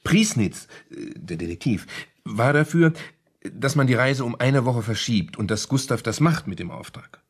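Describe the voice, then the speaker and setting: An actor playing a man in a German radio play who haltingly retells a crime novel, often correcting himself. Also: medium pitch, animated, slightly rough, no room sound. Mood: earnest retelling. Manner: halting, self-correcting storyteller.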